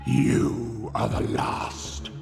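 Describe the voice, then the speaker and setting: A man voicing a monstrous decayed vampire in a video game, bitter and menacing, with a rasping, breathy, sinister delivery. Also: drawn-out words, over music. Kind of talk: theatrical video game voice acting.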